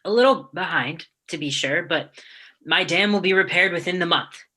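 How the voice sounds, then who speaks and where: A woman's voice: lowish voice, normal speed, pushed and bright, slight background noise. A young woman acting a deferential male landscape gardener in a staged reading, obsequious and apologetic. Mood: placating. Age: young adult. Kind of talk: staged reading of a period comedy.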